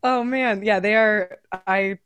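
A young woman with a low voice, chatting as a guest musician in a casual interview, laughing often and filling pauses. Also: fairly even intonation, a bit bright, clean tone, no echo, muffled recording.

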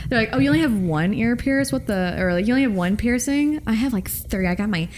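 Lively, high-voiced woman in her twenties. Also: fast, echoey room, slight background noise. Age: 20s. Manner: rambling, lots of "like", self-mocking. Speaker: podcast co-host. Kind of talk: casual chat.

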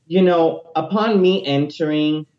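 A man in his late twenties speaks with a high tenor voice, sitting a little above most men. He tells his story with animated, energetic delivery. The recording sounds muffled and lo-fi. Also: unhurried. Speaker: interview guest, teacher and music minister. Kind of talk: personal testimony interview.

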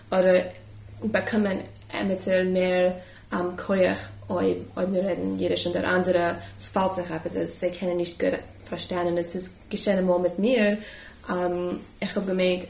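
Zögernde Frau mit flacher, tiefer Stimme. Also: female voice, lowish voice, creaky, a little mellow, echoey room, poor audio. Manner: hesitant, many fillers and restarts. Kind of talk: casual group discussion.

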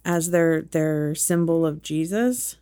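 Woman w/ gravelly mellow voice, teasing and wry, casual podcast chat. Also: low-pitched, takes it slowly. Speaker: podcast co-host.

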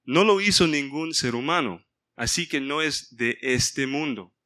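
Bright-voiced man leading a Bible study. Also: a little high, animated, unhurried. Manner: mixes in English words, stops to ask the group for help. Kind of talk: informal Bible study sermon.

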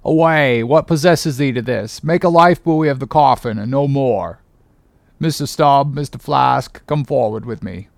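A troubled first mate played by a man reading aloud snaps out clipped, commanding orders. The voice sits at an ordinary middle pitch for a man. The recording is dry and close, with no room sound. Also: unhurried, creaky, dry recording. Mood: stern, uneasy. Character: stern, troubled male ship's first mate.

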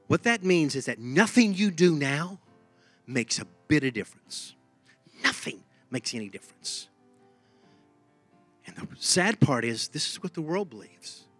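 Texan pastor guy preaching, bright forceful voice, dry close sound, faint music behind. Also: a little high, no echo. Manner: emphatic, conversational preaching with repeated phrases. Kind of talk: sermon.

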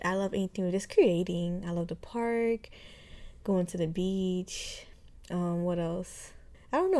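A woman's voice: fairly low pitch, mellow. A woman in her twenties from Haiti, hosting a casual podcast intro and talking chattily with many fillers. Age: 20s. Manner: chatty, many fillers like "like" and "um", frequent light laughs. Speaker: podcast host.

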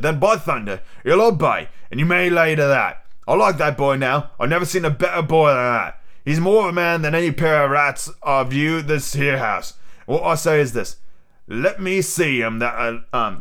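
A man's voice: fairly high pitch, lively intonation, normal speed, a bit bright, pitched above the performer's own voice. A man voicing a domineering old pirate sea-cook captain with swaggering, threatening seafaring talk. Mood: commanding.

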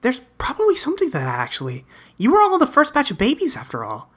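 Girl doing an earnest teen boy, bright voice, swoops around a lot, rambles on breezily like a webcomic dub. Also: sits high, huge pitch swings, quick pace, breathy edge, heavily acted. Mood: thoughtful, awkward. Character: earnest, rambling teenage boy recapping his wild adventures. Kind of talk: character dub reading of a webcomic.

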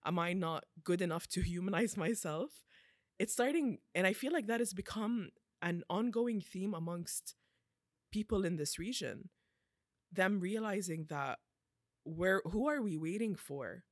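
A woman's voice: lowish voice, animated, unhurried, dry recording, clean studio sound. A woman in her twenties hosting a podcast, talking earnestly and personally, with her intensity building. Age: 20s. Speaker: podcast host. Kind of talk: personal reflective talk.

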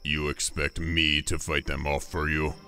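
An actor playing a gruff, weary orc warrior in a fantasy audio drama, terse and dry with a gravelly, unhurried delivery. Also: male voice, extremely low pitch, level tone, pushed and bright, faint music behind, exaggerated voice. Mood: gruff, reluctant.

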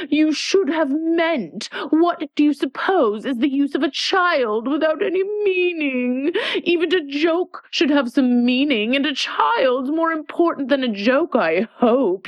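A woman voicing a stern, sharp-tongued queen in a storybook reading, slow and scolding. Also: high voice, no echo, lo-fi sound, voice pushed up.

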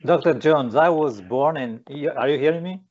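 A man's voice: fairly high pitch, moderate pace, a little mellow. A man from Portugal interviewing a guest, hesitant with many fillers and restarts. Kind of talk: video interview. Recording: dull lo-fi audio.

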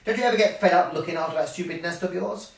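A man's voice: sits high, quick pace, creaky, roomy sound, distant mic, voice pushed up. A man voicing a snooty, bored female horsefly with a languid, superior manner in a children's story. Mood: bored disdain.

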